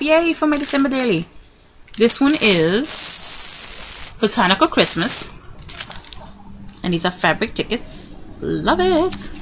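A chatty, excitable woman shows her craft haul to a camera at home, talking at an ordinary middle pitch. A clear household hum runs behind her voice, and the recording sounds like a simple home setup. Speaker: craft vlogger. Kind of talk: casual show-and-tell unboxing. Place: home room by a window. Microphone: camera in front of her, hands in frame. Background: TV and children's noise.

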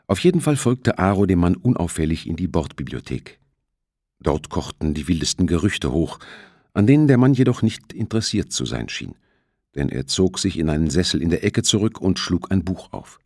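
A man's voice: deep voice, big pitch swings, normal speed, darkish tone, no room sound, clean studio sound. A man narrating a thriller audiobook in a scripted, storytelling style.

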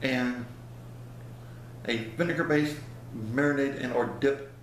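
A man's voice: medium pitch, steady tone, measured pace. A man in his fifties chatting casually to a webcam in a small room, rambling and folksy as he loses his words now and then. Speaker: vlogger. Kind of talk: casual product review vlog. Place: small indoor room by a plain wall. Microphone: webcam at close range. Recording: very echoey room, faint hiss.

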